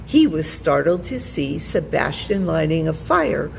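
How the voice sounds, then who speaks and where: A woman's voice: deep voice, sing-song, a little slow, vocal fry, in a large hall, slight background noise, rough recording. A woman narrating a children's storybook aloud as a storytime reader.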